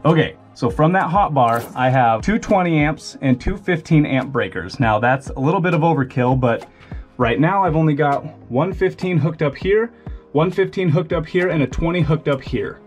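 Young man casually walking through a shed. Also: mid-range voice. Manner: relaxed, chatty, explains step by step. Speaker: homestead vlogger. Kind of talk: casual how-to walkthrough. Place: small wooden shed, outdoors in hills.

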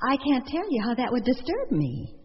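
A woman's voice: sits high, huge pitch swings, normal speed, husky, exaggerated voice. An actress playing a witty, flirtatious, self-dramatizing socialite woman in a radio drama, theatrical and arch. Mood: coaxing. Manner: arch, theatrical, fond of "darling". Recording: dull lo-fi radio drama audio.

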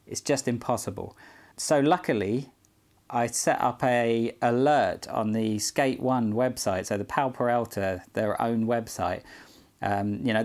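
A man's voice: mid-range voice, a little mellow. A British man in his forties talking casually to camera as he shows a product in a room at home. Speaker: skateboard collector vlogger. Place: small indoor room at home.

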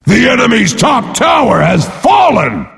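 A boastful brute warrior announces a video game in a high, gravelly bellow. He is pompous and brash, swinging wildly in pitch, with a long reverb tail on his voice. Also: huge pitch swings, a little slow, a bit bright, shouting, exaggerated voice. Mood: booming, forceful. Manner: pompous, speaks of himself in third person.

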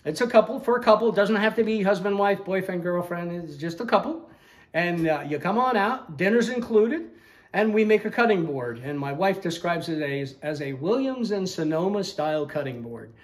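A man's voice: sits high, animated, normal speed. An older man, the owner of a woodworking school, chatting casually and explaining things with small jokes as he walks through a large indoor shop, recorded on a camera held by the interviewer. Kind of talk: casual shop tour talk.

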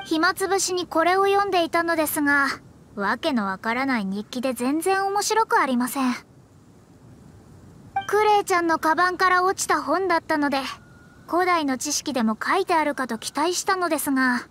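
A woman's voice: very high-pitched, lively intonation, normal speed, fairly bright tone, a little hiss or hum. A woman voicing a proud young astrologer mage in an anime game, speaking politely and precisely with a slightly haughty air. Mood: exasperated. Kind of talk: Japanese anime game voice acting. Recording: game music behind the voice.

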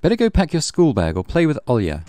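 A man voicing a stern mother who scolds her young son in a sharp, reproachful, threatening manner. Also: on the high side, huge pitch swings, fast talker, no echo, voice pushed up. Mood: scolding, worried.

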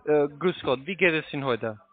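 A man with a foreign accent in non-native German making a polite, halting telephone sales pitch over a phone line. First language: not German. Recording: tinny, narrow phone line.

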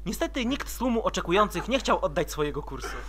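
A man's voice: high voice, very animated, fast, fairly bright tone. A man reading scripted story narration for an amateur comic dub.

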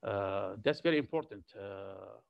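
A man's voice: lowish voice, lively intonation, vocal fry, no room sound, highs cut off. Man, middle-aged, arabic accent with a bit of french, slide lecture to med students, lots of uh fillers, dry close sound. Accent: Syrian Arabic with a slight French tinge. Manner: deliberate, frequent uh fillers and repeated points. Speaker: physician giving a career talk.

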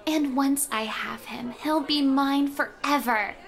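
High-pitched lovestruck schoolgirl cartoon chicken. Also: takes it slowly, slight background noise. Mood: scheming, possessive. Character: lovestruck teenage girl animatronic chicken, dreamy and obsessive. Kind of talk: scripted video game cutscene narration.

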